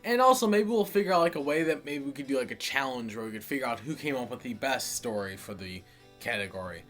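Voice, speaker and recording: A man's voice: mid-range voice, expressive, fast talker, a bit bright, faint music behind. An American man hosting a video series, telling stories and chatting casually to viewers in a folksy way. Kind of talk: casual scripted storytelling and chat to viewers.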